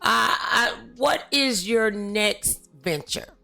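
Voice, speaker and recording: A woman hosting a talk show, chatting in a familiar, colloquial and halting way with exclamations. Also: fairly high pitch, expressive, gravelly, bright, forceful tone. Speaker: talk show host interviewing a guest. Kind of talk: casual, familiar interview chat.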